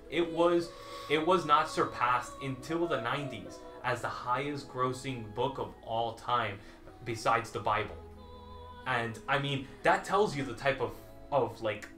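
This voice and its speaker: A man's voice: mid-range voice, bright, forceful tone. A man in his thirties arguing his side in a casual debate and laying out figures. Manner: lays out figures, argumentative. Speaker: debater for the Lord of the Rings side. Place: small indoor room full of movie memorabilia. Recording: echoey room.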